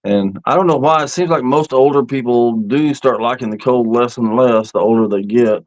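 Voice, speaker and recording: A man's voice: medium pitch, moderate pace, a little mellow, dry recording, poor audio. Folksy man in his fifties. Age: 50s. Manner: folksy, rambling storyteller. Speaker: host of an outdoor live stream. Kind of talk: casual live-stream chat about camping.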